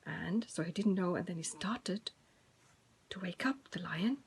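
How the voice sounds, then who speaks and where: A woman's voice: on the low side, measured pace, creaky, darkish tone, breathy edge. A woman telling a story to preschool children in an informal way, with simple, repeated phrases addressed to young listeners.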